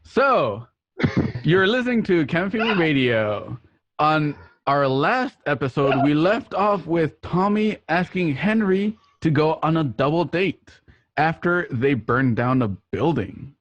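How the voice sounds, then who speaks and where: Higher-voiced male radio host, dull recording. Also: animated, slow pace, no room sound. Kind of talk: radio show intro, presenting.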